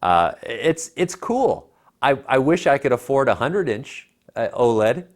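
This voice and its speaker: A man's voice: mid-range voice, very animated, unhurried. An American man in his thirties co-hosting a tech show, explaining gear in a casual, conversational way. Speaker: tech show co-host reviewing home theater gear. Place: living room at home, indoors. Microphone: lapel mic.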